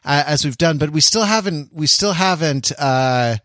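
A man's voice: a little high, animated, normal speed, a bit bright, dry recording, studio quality. A man co-hosting a podcast, bantering casually with playful, mock-dramatic emphasis. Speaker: podcast co-host.

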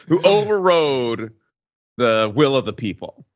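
A man's voice: mid-range voice, very animated, unhurried, no echo, muffled recording. A man co-hosting a casual podcast, making wry, mock-serious interjections. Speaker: podcast co-host. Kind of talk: casual panel discussion.

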